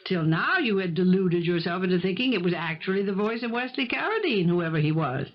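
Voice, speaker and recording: An actress playing a practical, no-nonsense housekeeper in an old-time radio drama, speaking firmly and crisply, as if giving a lecture. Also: female voice, fairly low pitch, expressive, a little fast. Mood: lecturing. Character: brisk, bossy, no-nonsense housekeeper, steady and practical. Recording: muffled 1970s radio broadcast.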